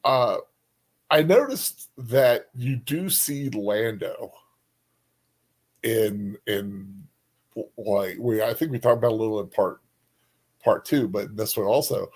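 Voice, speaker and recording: A man's voice: on the low side, breathy edge. Hesitant man in casual chat. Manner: hesitant, halting, searching for words. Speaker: livestream co-host.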